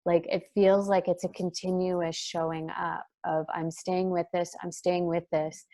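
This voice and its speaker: A woman with a soft voice, talking in a gentle, searching way as an interview guest, with many fillers and restarts. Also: fairly low pitch, level tone, a little slow. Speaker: guest, breathwork trainer. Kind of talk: reflective interview talk.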